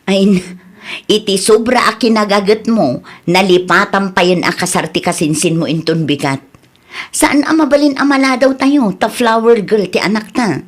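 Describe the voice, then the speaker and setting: A woman's voice: medium pitch, animated, normal speed, lightly acted. A woman playing a caring, fussy village wife in a radio comedy drama, coaxing and mildly nagging, with a Filipino accent. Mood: fussing, coaxing.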